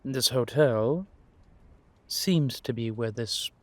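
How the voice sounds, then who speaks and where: A man playing a world-weary, wry and menacing vampire intelligence operative in an audio roleplay, confiding in a measured, drawn-out way. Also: mid-range voice, fairly bright tone, dry recording. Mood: wry, amused. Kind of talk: roleplay audio drama monologue addressed to a listener.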